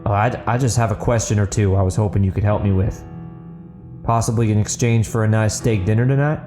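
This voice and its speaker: A man's voice: lowish voice, fairly even intonation, brisk, over music, natural voice. Man voicing an uneasy, hesitant gang officer. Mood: hesitant, coaxing. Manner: hesitant, placating. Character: uneasy male gang officer seeking information. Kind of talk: audio book dialogue.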